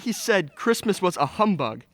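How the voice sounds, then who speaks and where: Woman playing a low-voiced jovial young gentleman. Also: big pitch swings, moderate pace, no room sound. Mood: cheerful, generous. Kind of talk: old-time radio play acting.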